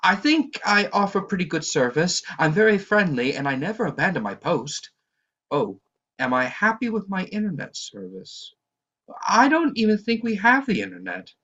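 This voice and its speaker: A man acts out a flustered, deferential male lobby attendant in an audio play. His pitch moves around a lot as he hesitates and tries to please, and the recording is a little dull. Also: expressive, normal speed, pushed and bright, full character voice. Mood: flustered, impatient.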